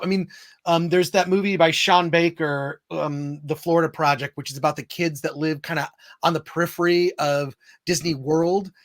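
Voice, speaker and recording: Higher-voiced man hosting casual film talk. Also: a bit bright. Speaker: film discussion host. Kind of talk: casual review discussion.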